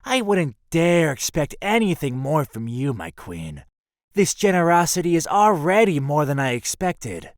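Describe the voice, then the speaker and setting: A roguish male mercenary acted by a man for an audio drama, flippant and full of swagger. His delivery is highly animated and swoops around in pitch, recorded close and dry with no room sound. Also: measured pace, dry recording. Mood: grateful, wry. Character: roguish, cocky, theatrical male mercenary leader.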